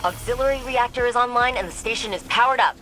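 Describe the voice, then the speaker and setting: An actress playing a studious, casual mission guide in a video game, with a wry, encouraging manner. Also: female voice, a little high, fast, sharp tone, faint music behind, poor audio. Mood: focused, wry. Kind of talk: scripted video game dialogue.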